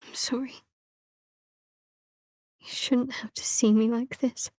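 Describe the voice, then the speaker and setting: An actress playing a guarded, wry young cleric of darkness in a video game, speaking in a measured way. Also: female voice, a little high, little pitch movement, a little mellow, dry recording, in a whisper, studio quality. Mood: quiet remorse.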